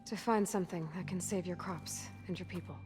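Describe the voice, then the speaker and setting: A woman acting as a determined young explorer heroine in a video game. She is direct and wry, with a naturalistic, plainspoken delivery. Loud music plays behind her. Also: on the low side, steady tone, fairly quick, close to an everyday voice. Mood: calm, inquiring. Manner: plainspoken, direct, mutters to herself.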